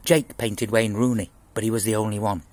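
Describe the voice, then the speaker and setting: A man in his sixties with a husky voice, reading a children's novel aloud in an expressive storytelling style, outdoors. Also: fairly low pitch, fairly quick, dry recording. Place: outdoors in a garden. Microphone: phone at arm's length.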